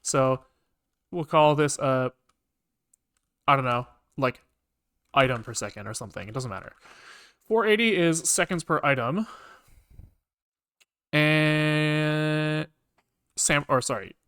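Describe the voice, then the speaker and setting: A man's voice: fairly high pitch, animated, takes it slowly, long pauses, a bit bright, smooth. A man talking casually to himself as he works through code on a live stream, informal and muttering. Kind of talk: casual think-aloud live coding commentary.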